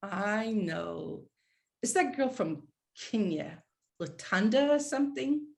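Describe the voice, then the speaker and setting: A woman's voice: mid-range voice, expressive, slow pace, gravelly. A woman playing a retired professor mother of sixty-five in a screenplay read, conversational, fond and reproachful with pointed questions. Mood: playful. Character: retired professor mother, sixty-five, guilt-tripping, warm but wounded. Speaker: actor in a script read. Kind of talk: live screenplay table read.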